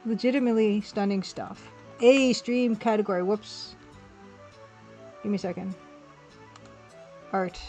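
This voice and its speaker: A woman's voice: mid-range voice, measured pace, pauses often, warm tone. A woman hosting an art livestream, chatting informally and thinking aloud as she works and replies to chat. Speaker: livestream host and pixel artist. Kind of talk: casual chat while drawing on a livestream. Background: music.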